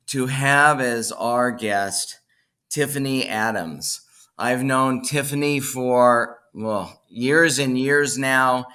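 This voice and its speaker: Male interview host, bright tone, unscripted, halting delivery with frequent restarts. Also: medium pitch. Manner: halting, repeats words and restarts phrases. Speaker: podcast host, bookstore owner. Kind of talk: unscripted podcast introduction and interview.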